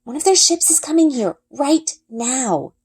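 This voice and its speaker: Woman as an eager young girl, high, animated, storybook best friend. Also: unhurried, husky, exaggerated voice. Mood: urgent. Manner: excited, eager.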